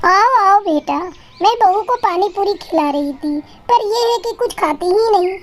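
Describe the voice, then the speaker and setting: A woman's voice: extremely high pitch, unhurried, voice pushed up. A woman voicing a doting mother-in-law bird in a cartoon, motherly and insistent. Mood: fussing, affectionate. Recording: faint music behind.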